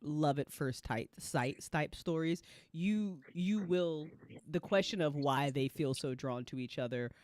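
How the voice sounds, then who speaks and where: Woman, lower register, dry close sound, loose slangy storyteller who swears freely as she talks through her own work. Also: measured pace, no echo. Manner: animated, profane, slangy storytelling. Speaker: writer and creator of an audio drama, discussing it. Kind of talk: casual after-show chat.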